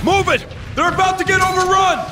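Excitable, very high-pitched robot soldier. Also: male voice, brisk, reverberant. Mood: urgent. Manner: urgent, yelling. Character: excitable male robot soldier shouting battlefield callouts. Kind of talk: video game combat barks. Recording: loud music and battle effects behind.

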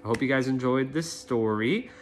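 A man's voice: medium pitch, normal speed, soft background music. A man in his thirties reading a children's story aloud expressively, as a storyteller.

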